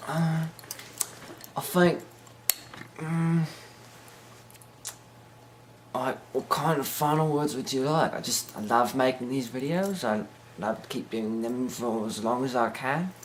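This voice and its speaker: A man's voice: medium pitch, unhurried, a little hiss or hum. A teenage boy talking in a put-on British accent, rambling hesitantly through a joking mock interview with many ums and restarts.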